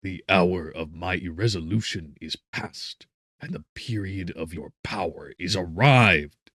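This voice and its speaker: A man playing a defiant, anguished scientist in a gothic audiobook, declaiming with forceful indignation. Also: low voice, a little slow, no echo, studio quality, full character voice. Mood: resolute rage. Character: defiant, anguished male scientist confronting his creation. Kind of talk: dramatic gothic audiobook acting.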